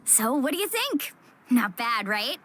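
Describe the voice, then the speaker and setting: A woman's voice: on the high side, big pitch swings, measured pace, a bit bright, faint music behind. A woman voicing an upbeat, friendly young surfer girl in a video game, with a breezy, casual manner. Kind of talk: animated game voice acting.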